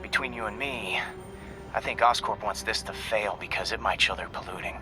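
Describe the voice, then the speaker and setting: An actor playing an earnest young man asking his friend for a favor, a little hushed and halting. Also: fairly low pitch, music in the background, close to an everyday voice. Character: young man, worried friend leaving a message.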